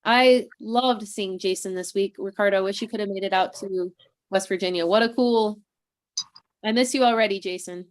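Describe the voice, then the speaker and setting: A woman's voice: mid-range voice, moderate pace. Chatty woman hosting a video call. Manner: chatty, upbeat, clears throat between announcements. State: tired from a convention weekend. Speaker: host. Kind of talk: casual livestream chat.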